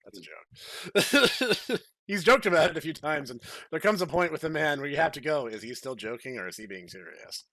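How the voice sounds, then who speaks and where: A man's voice: a little high, very animated, moderate pace, bright, forceful tone, no room sound. A man hosting a podcast in casual chat, with a jokey, playfully theatrical way of phrasing things. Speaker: podcast host.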